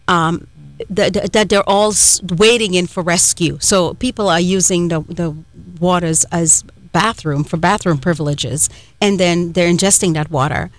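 A woman's voice: on the low side, no echo. A middle-aged woman from Trinidad with a Trinidadian accent, co-hosting a radio talk show in a chatty, rambling way with lots of fillers. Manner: chatty, warm storytelling with many fillers and restarts. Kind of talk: casual chat.

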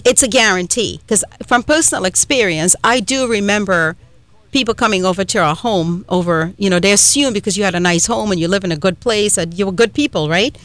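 A woman from Trinidad hosts a radio talk show in a chatty, emphatic way, often pausing on fillers. Her voice sits mid-range and sounds close and dry on a studio microphone. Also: medium pitch, a bit bright, no echo. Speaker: radio host.

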